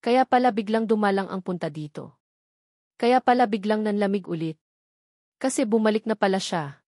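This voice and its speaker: A woman's voice: mid-range voice, moderate pace, darkish tone, dry recording, clean studio sound, close to an everyday voice. A woman narrator voicing a heartsick young woman who talks inwardly to herself. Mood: hurt.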